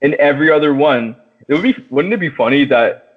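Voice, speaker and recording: A man's voice: fairly high pitch, lively intonation, fairly quick. A man talks film casually as a podcast co-host. His speech is slangy and full of restarts, and the sound is thin and narrow, like a phone call.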